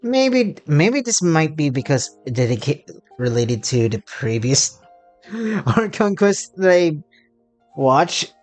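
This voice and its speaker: A man giving casual commentary on a game playthrough as channel host, halting and often giggly. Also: a little high, very animated, faint music behind.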